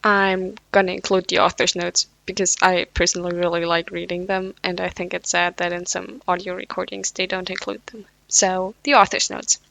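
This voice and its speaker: A woman's voice: lowish voice, flat delivery, moderate pace, creaky, few pauses, fairly bright tone, dry recording. A woman reading fanfiction aloud at home, with casual, self-deprecating asides; English is not her first language. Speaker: podfic reader.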